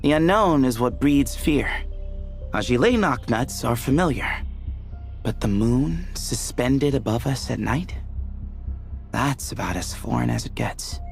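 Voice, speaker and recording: A man's voice: mid-range voice, lively intonation, unhurried, gravelly, soft background music. A man voicing a laid-back, teasing young temple leader who chuckles and jokes in game cutscene dialogue. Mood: easygoing.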